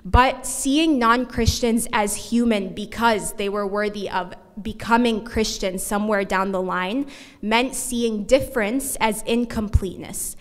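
A young woman giving an academic conference talk at a podium microphone, speaking in a measured way from notes with small restarts. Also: mid-range voice. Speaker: conference presenter. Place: large conference hall at a podium. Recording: long hall reverb.

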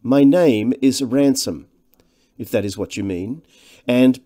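A man voicing a polite, hesitant gentleman walker in a storybook, unimpressive and mildly indignant. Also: medium pitch, slow, voice pushed up, close to an everyday voice. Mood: polite, awkward. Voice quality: unimpressive, unauthoritative.

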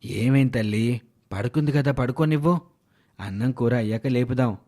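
A man voicing a caring middle-aged village father who speaks tenderly to his child in an animated moral story. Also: mid-range voice, moderate pace, close to an everyday voice. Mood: gentle.

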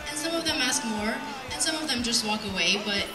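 A young adult woman giving an earnest, measured prepared speech at a podium in an event hall, reading from notes. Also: on the high side, expressive. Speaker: speaker at a community event. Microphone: handheld mic on PA, picked up by distant camera. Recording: roomy, distant sound with music.

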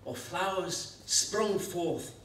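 Slow, expressive man reciting poetry, echoey. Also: sits high. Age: middle-aged. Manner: measured, expressive, with dramatic pauses. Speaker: poetry reader. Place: school hall stage before an audience. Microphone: lectern gooseneck microphone. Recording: echoey hall, distant microphone.

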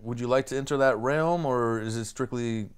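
A man's voice: mid-range voice, moderate pace, clear, resonant tone. A man co-hosting a podcast, asking the guest questions in a curious, casual way. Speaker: podcast co-host.

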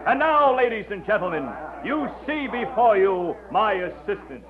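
An actor in an old radio drama playing a showy quack doctor with a grandiloquent sales pitch. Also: male voice, expressive, normal speed. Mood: grandiose, persuasive. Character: showy male medicine-show huckster, grandiloquent quack doctor. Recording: lo-fi old radio recording, crowd behind.

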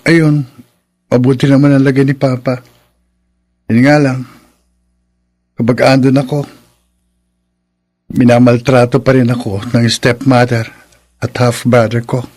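A man's voice: medium pitch, level tone, unhurried, long pauses, a little mellow, close to an everyday voice. A man with a Filipino accent playing a humble, mistreated young son in a radio drama, earnest and pleading. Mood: calm, relieved.

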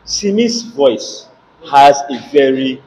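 A man's voice: mid-range voice, lively intonation, slow pace, warm tone. A young man with a Nigerian accent chatting and debating casually, emphatic and repeating himself to get his point in. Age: young adult. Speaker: participant in a music debate. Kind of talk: casual debate chat, English mixed with Pidgin. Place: indoor gym, medium-sized room. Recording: noisy gym room pickup.

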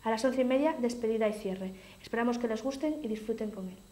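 Young woman formally reading announcements, higher-pitched voice. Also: quick pace, dark tone. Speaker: continuity presenter of local television. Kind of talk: reading scheduled programme announcements. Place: small indoor studio with curtains.

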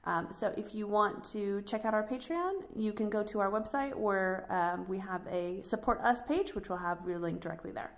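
A woman's voice: mid-range voice, moderate pace, few pauses. A woman presenting a podcast casually as host.

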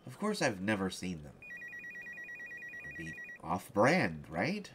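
Man acting flustered, stammering reporter, swooping pitch. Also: huge pitch swings, noisy background, heavily acted. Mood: exasperated, defensive. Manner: stammering, halting, exasperated. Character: flustered, distracted male reporter, jealous and self-defensive. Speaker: voice actor in a solo roleplay audio. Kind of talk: one-sided conversational audio roleplay.

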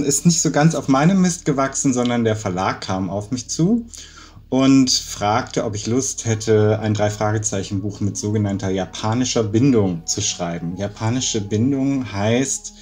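A man's voice: mid-range voice, measured pace, darkish tone, faint music behind. A middle-aged man, an author, telling an anecdote in an interview over a video call. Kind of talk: storytelling in an interview. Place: living room indoors, video call.

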